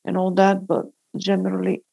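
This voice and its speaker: A woman from North Macedonia who teaches braille, giving thoughtful, measured answers in a panel discussion. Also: medium pitch, monotone, creaky, warm tone, no room sound, rough recording.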